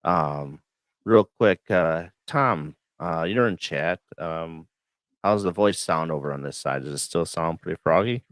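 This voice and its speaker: A man hosting a casual livestream chat, a bit hoarse and froggy from the flu, talking in a relaxed, rambling way with many fillers. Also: sits low, unhurried, dry recording. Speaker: host. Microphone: studio microphone.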